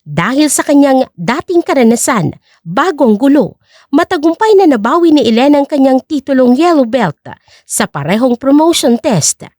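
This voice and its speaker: Female audio drama narrator, scripted and dramatic, high register, lively intonation. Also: moderate pace, no echo, studio quality.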